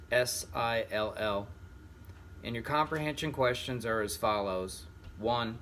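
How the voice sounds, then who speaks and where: Slow-paced man reading a children's story. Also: mid-range voice, fairly bright tone, slight background noise. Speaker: reader of a children's book. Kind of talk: read-aloud storytelling with vocabulary and questions.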